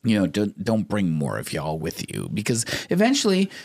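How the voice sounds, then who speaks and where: A man co-hosting a podcast in casual chat, animated and swearing freely, his voice wearing out. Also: on the low side, moderate pace, gravelly. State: losing his voice. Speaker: podcast co-host.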